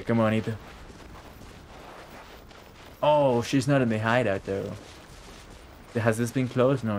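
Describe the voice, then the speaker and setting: Low-voiced man streaming a game casually. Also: slight background noise. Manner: informal, chatty, repetitive, swears casually. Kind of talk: casual live gameplay commentary.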